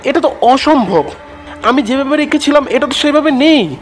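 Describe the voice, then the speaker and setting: Guy doing suspenseful horror story narration, scared tired ambulance driver, voice swings a lot, loud music under it. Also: extremely high pitch, animated, moderate pace. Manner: suspenseful, dramatic. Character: frightened, weary male ambulance driver telling his tale. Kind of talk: dramatic first-person horror storytelling.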